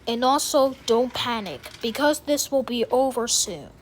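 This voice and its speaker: A woman reading a scripted mock emergency broadcast announcement in a formal, deliberate, instructional manner. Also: a little high, expressive, a little hiss or hum.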